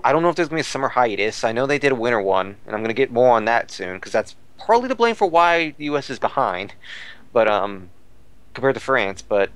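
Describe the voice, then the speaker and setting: A man with a clean, smooth voice at an ordinary middle pitch chats as a podcast commentator. He rambles through fan news, circling back over his phrases as he looks for the next word. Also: sing-song, quick pace, a bit bright, no room sound. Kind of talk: casual fan news discussion.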